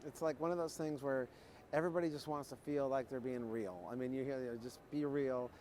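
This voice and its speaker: A man's voice: medium pitch, steady tone, fairly quick, a little mellow, slight background noise. A man hosting an interview, asking long, rambling questions. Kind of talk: conversational interview. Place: trade show floor.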